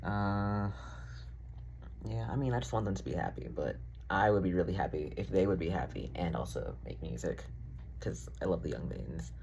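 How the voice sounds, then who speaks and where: A man's voice: sits low, animated, moderate pace, creaky, darkish tone, slight background noise, low-quality recording. A young man rambling casually in a diary-style vlog, full of fillers, swearing and self-deprecating asides. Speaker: vlogger.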